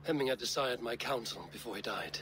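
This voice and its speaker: A steady Viking warrior and trusted counsellor, acted by a man for a video game. He speaks in measured, formal phrases at a middling pitch, with faint ambient sound behind him. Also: a little hiss or hum, lightly acted. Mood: calm, serious. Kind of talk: video game dramatic acting.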